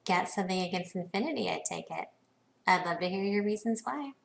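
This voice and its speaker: A woman's voice: medium pitch, expressive, brisk, gravelly. An actress playing a confident, probing businesswoman in a video game, with a composed, knowing delivery. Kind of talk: scripted game dialogue.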